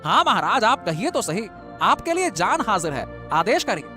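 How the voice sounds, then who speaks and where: An actor playing a wily, flattering male villager who feigns humble concern in a melodramatic story, theatrical and obsequious. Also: medium pitch, normal speed, faint music behind. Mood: fawning. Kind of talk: melodramatic storybook audio drama.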